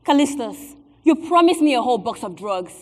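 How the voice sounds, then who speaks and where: An actress plays an impatient mistress with a West African accent, pleading and dramatic in stage style. The pitch is high and the sound rings in an echoey theatre hall. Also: female voice, sing-song, fairly quick. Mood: complaining. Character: impatient, pleading mistress eager to become second wife. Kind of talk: stage comedy-drama acting.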